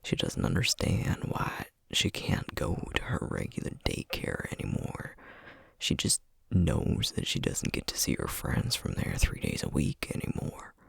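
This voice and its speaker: A man playing a gentle, burnt-out sorcerer dad with a Southern American accent in a whispered ASMR roleplay, halting and full of self-doubt. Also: extremely low pitch, wildly swooping pitch, no room sound, exaggerated voice. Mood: exhausted, troubled. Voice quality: hushed, breathy whisper. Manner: halting, confiding, with sighs and trailing pauses.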